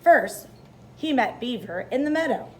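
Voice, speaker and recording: High, lively woman reading a children's story. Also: a little slow, a little hiss or hum. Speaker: storybook reader. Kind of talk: expressive read-aloud of a children's story.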